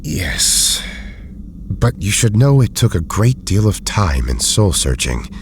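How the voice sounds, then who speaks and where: An actor playing an erudite, weary but loyal scientist in an audio drama, speaking in formal, deliberate phrases. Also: male voice, lowish voice, sing-song, background noise, exaggerated voice. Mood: grave.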